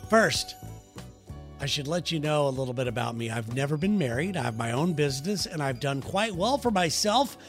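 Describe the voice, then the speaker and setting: A man's voice: animated, moderate pace, faint music behind. A man co-hosting a comedy podcast, reading a listener letter aloud and joking around in a teasing way. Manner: teasing, jokey, breaks into falsetto song.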